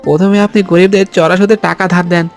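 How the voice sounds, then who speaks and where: A man voicing a sneaky, excitable henchman who fawns on his village boss in a cartoon. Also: high-pitched, fast, soft background music. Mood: gleeful, scheming. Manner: obsequious, excitable. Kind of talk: Bengali cartoon voice acting.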